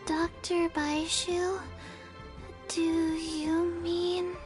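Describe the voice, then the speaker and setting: Very high, slow little girl. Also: little pitch movement, heavily acted. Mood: gentle, concerned. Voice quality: soft, childlike. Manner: slow, halting. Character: small slow-spoken zombie girl, gentle clinic helper. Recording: music behind.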